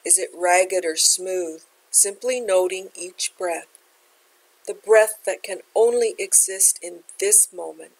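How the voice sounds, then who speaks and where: Woman gently guiding a meditation. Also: lowish voice, takes it slowly, breathy edge, low-quality recording. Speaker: meditation teacher. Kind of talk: guided meditation instruction.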